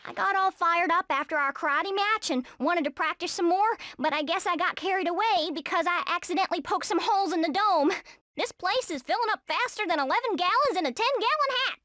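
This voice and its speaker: A woman's voice: extremely high pitch, a little fast, bright, forceful tone, no echo, clean studio sound. A woman voicing a feisty, sporty squirrel in a cartoon video game, with a folksy, brash Texan drawl. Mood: friendly, folksy. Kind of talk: animated video game voice acting.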